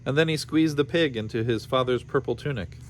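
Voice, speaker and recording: A man reading a storybook aloud with expressive narration. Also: medium pitch, brisk, slight background noise. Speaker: storybook reader.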